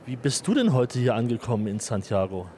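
A man's voice: mid-range voice, big pitch swings, brisk, mellow, a little hiss or hum. A male radio host chatting with a guest outdoors on a city square. He talks loosely and jokes, with a mid-range voice and plenty of fillers. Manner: chatty, joking, filler-heavy. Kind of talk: casual radio interview.

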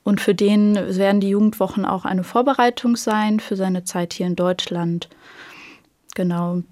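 A woman's voice: mid-range voice, steady tone, measured pace, warm tone, no echo, studio quality. A woman who leads a youth program, answering interview questions in a friendly way with many hesitations.

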